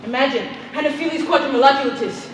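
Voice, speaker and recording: A woman's voice: high voice, fast talker, close to an everyday voice. A young woman on stage playing a sassy, teasing woman explorer with a familiar manner. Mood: brash. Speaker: stage actor. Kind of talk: live stage play acting. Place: theatre stage, recorded from far off. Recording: echoey theatre, distant mic, noisy.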